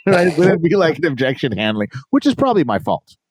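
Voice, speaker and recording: A man's voice: on the high side, wildly swooping pitch, brisk, slightly rough, no echo. A man hosting a podcast in an easygoing, agreeable way, often giving short replies. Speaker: podcast host. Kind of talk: casual podcast conversation.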